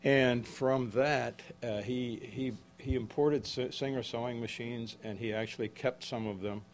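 A man in his seventies, from the Czech Republic, giving a book talk to a live audience in a halting way with frequent restarts and self-corrections. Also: medium pitch, fairly even intonation, a little hiss or hum. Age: 70s. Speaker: author. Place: event room with an audience.

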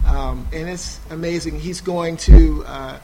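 A man speaking on a panel about his son's schooling, halting with many fillers and restarts. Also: a little high, steady tone, warm tone, slight background noise, poor audio. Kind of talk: personal testimony at a panel. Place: small intimate room. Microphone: no PA, recorded for a podcast.

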